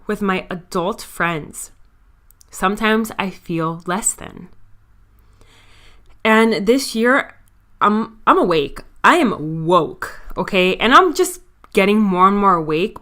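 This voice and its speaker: Bright, clear-voiced young woman podcaster. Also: medium pitch. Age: 20s. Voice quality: stutter. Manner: candid, confiding, talks straight to listeners. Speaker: podcast host. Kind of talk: casual personal monologue.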